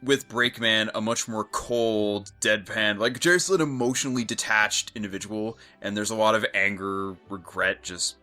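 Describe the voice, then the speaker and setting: A man's voice: lowish voice, normal speed, few pauses, bright, forceful tone, soft background music. A man co-hosting a casual audio commentary on a fan dub, chatting through his thoughts. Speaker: co-commentator, dub voice actor and video editor.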